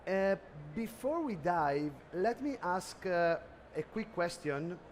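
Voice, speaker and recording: A man's voice: sits high, lively intonation, slow pace, a little hiss or hum. Italian-accented man giving an expo talk. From Italy. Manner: hesitant, many "uh" fillers. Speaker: conference speaker. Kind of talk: trade expo presentation. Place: expo hall stage.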